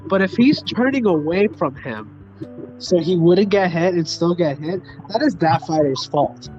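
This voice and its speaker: High-voiced man, slightly muffled, blunt and slangy. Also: lively intonation, measured pace, a little mellow. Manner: blunt, slangy, swears freely. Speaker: podcast co-host, sports commentator. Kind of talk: casual sports banter. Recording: muffled lo-fi audio, faint music.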